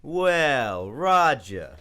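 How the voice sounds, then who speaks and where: A man voicing a greedy, crooked businessman with a loud, gruff, chuckling manner. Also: medium pitch, very animated, drawn-out words, slight background noise, voice pushed up, full character voice.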